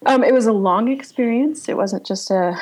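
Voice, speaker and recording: A woman in her thirties from Pennsylvania, a writer guest who talks reflectively with frequent pauses and fillers. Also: a little high, darkish tone. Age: 30s. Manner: reflective, halting, many um fillers. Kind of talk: casual interview talk.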